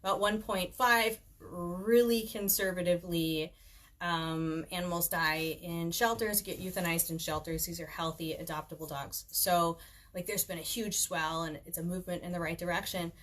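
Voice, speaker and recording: A woman's voice: lowish voice, fairly even intonation, moderate pace, fairly bright tone. A woman author reading her own book aloud at home in an informal, conversational way. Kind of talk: reading aloud with casual chatty asides. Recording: clear background noise, home recording.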